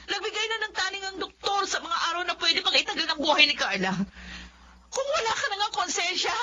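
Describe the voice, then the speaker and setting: A woman's voice: very high voice, big pitch swings, brisk, bright, forceful tone, heavily acted. A woman with a Filipino accent acting an earnest, guilt-ridden grown daughter in an emotional, pleading way for a radio drama. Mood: anguished, pleading. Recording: muffled audio with faint noise.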